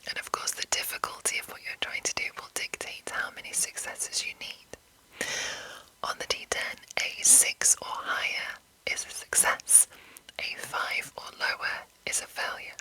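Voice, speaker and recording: A woman whispering an explanation in a breathy, rambling, unscripted ASMR style, soft and measured. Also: rough recording. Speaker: ASMR host explaining a roleplaying game.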